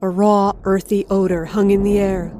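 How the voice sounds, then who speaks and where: Haunted female narrator, acted: mellow, dark tone, mid pitch, measured and ominous, faint music beneath. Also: level tone, natural voice. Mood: hushed dread. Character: haunted woman narrating her ghostly encounter. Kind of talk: scripted horror narration.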